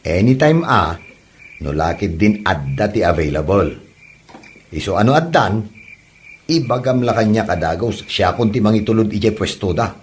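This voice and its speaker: An actor as an easygoing, helpful working man in a comedy audio drama. His voice is on the low side with animated swings in pitch. He sounds casual and agreeable. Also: moderate pace, a little hiss or hum, lightly acted.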